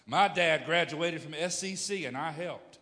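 A pastor in his fifties preaching a sermon, emphatic, swinging from loud bursts to hushed storytelling. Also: fairly high pitch, unhurried, long reverb tail.

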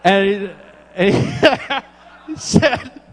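A man preaching in church, his voice a little higher than most. He tells comic stories and acts out the dialogue as he goes. The sound carries a long church-hall reverb. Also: drawn-out words, husky. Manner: animated storyteller, acts out dialogue, laughs at own jokes. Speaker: pastor. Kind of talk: sermon with humorous stories.

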